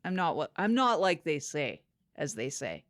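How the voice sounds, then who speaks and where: A woman playing an awkward, unfiltered broom salesperson in improvised comedy, rambling haltingly in a matter-of-fact way. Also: sits low, very animated, no room sound, clean studio sound. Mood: awkward, casual. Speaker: product demonstrator on a comedy podcast.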